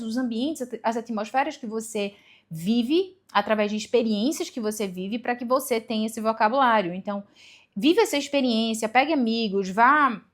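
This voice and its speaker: A young woman, giving casual advice to camera in a chatty way, with rhetorical questions and self-corrections. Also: on the high side. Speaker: vlogger giving language-learning tips.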